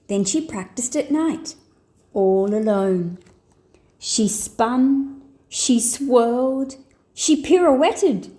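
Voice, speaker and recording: A woman storyteller reading a children's picture book aloud in an expressive, deliberate way. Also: on the high side.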